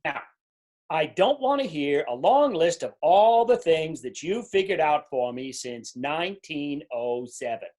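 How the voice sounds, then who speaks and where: Petulant king with swooping pitch played by a man. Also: lively intonation, unhurried, darkish tone. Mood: demanding. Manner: complaining, insistent. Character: petulant, demanding king, fretful and easily enraged. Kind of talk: readers theater storybook reading.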